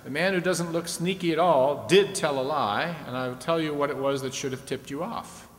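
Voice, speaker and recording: A man's voice: fairly high pitch, normal speed, natural voice. A man playing a genial, chatty host of an old-time radio audience show, explaining things and prompting with questions. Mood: genial, teasing. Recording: echoey hall with background noise.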